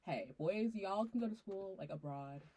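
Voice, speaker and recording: A woman's voice: mid-range voice, normal speed, slightly rough, rough recording. A young woman and college student chatting casually on a podcast, telling stories with a lot of "like". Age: young adult. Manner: chatty, animated storytelling with lots of "like". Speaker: student guest, business major. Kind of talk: casual chat. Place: college podcast recording, indoors.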